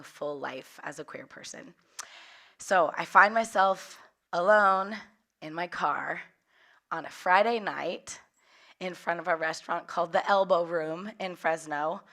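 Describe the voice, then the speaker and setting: Hoarse woman, voice kinda cracky, lowish but bright, laughs a lot while telling her story. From Central Valley of California. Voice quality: slightly cracky voice. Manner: warm, open storytelling with frequent laughs. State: hoarse, recovering from losing her voice. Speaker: workshop leader. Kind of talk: personal storytelling talk.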